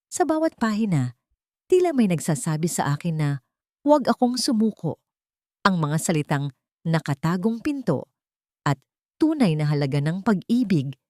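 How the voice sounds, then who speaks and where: A woman's voice: on the low side, warm tone, no echo, clean studio sound. A woman reading a scripted first-person dramatic story as its narrator.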